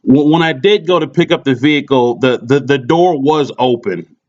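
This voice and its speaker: A man's voice: medium pitch, moderate pace, darkish tone. A man making a customer complaint by phone, insistent but polite, often stammering and repeating his words. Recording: muffled lo-fi recorded phone call.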